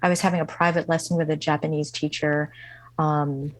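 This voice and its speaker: Soft-pitched woman, halting and reflective. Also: little pitch movement, no echo. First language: not English. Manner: halting, many fillers and restarts. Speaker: guest musician. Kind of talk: reflective interview storytelling.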